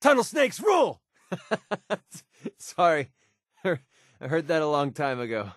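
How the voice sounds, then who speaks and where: An actor playing a young, wry mercenary in a video game, guarded but soft-hearted, with a sarcastic, conversational manner. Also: male voice, a little high, wildly swooping pitch, slow pace, dry recording, studio quality, heavily acted. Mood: amused. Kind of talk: video game companion dialogue.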